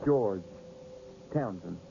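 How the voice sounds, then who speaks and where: An actor playing a smug, unnerving fortune teller with a taunting, gleeful manner, in 1980s radio drama style. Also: male voice, fairly low pitch, very slow, creaky, exaggerated voice. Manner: smug, taunting, swinging from hushed to excited. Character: cocky, unnerving male fortune teller, gleefully all-knowing. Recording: dull, noisy old radio broadcast recording.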